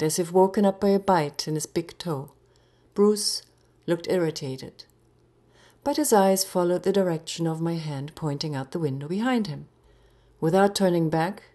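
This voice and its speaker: A woman reading her own short story aloud in a measured, expressive storytelling manner with deliberate pauses. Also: lowish voice. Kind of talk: literary short story narration.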